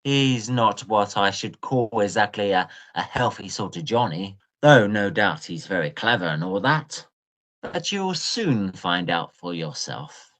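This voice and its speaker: A man's voice: fairly low pitch, lively intonation, a little slow, fairly bright tone. A man playing a chatty young Victorian Oxford student in an audio drama, jaunty and full of old-fashioned slang. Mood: breezy, advising.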